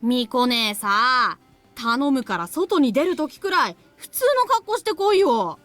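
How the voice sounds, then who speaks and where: Brash exasperated youngster, rough slangy speech, rapid-fire, animated swings, visual novel game. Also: female voice, high voice, dry recording, faint music behind. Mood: exasperated, scolding. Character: brash loud exasperated young person, Kyo. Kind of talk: Japanese visual novel voice acting.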